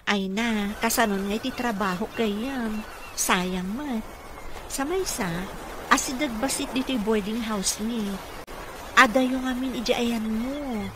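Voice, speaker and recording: An actress playing a dutiful, encouraging caregiver in an Ilocano-accented radio drama. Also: female voice, a little high, takes it slowly, background noise. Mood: friendly. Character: dutiful, encouraging young woman caregiver to a wheelchair-bound boss. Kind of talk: Filipino radio comedy-drama acting.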